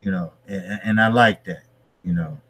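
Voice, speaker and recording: Guest, man, 50s, husky and lowish, hesitant speaker. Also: normal speed. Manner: hesitant, many fillers and restarts, laughs softly. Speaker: guest, boxing channel creator. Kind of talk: casual interview chat.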